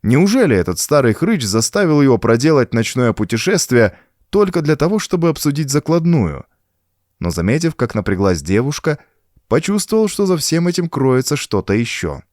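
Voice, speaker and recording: A man narrating a horror audiobook in an expressive, suspenseful, dramatic manner. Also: mid-range voice, moderate pace, no room sound, studio quality. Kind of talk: dramatic scripted narration of a pulp horror story.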